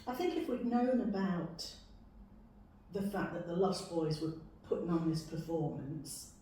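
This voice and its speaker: A woman gives measured, articulate feedback in a group discussion. Her mid-pitched voice sits far from the mic in a very reverberant room, with faint noise behind it. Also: expressive, warm tone. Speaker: community arts group member.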